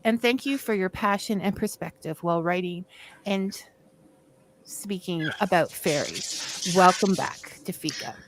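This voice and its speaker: A woman hosting a casual online talk show, chatty and unhurried, laughing often as she introduces her guest. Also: fairly low pitch, animated, poor audio. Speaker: host.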